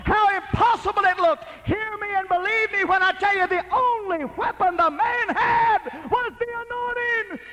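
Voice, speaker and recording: High-voiced preacher man, shouting sermon. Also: moderate pace, few pauses, a little hiss or hum, poor audio. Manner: emphatic, rhythmic preaching with shouted peaks.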